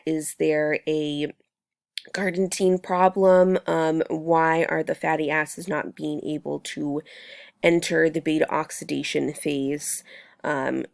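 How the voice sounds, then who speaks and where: A young woman student with a cold, explaining coursework in a measured way with frequent ums and restarts. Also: low voice, vocal fry, no echo, studio quality. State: sick with a cold, voice strained. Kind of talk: recorded class presentation.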